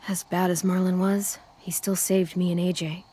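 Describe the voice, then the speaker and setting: A woman playing a tough, guarded teenage girl survivor in a video game, with measured, understated delivery. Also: lowish voice, little pitch movement, warm tone, a little hiss or hum, close to an everyday voice. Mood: gentle, reflective. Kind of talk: naturalistic video game voice acting.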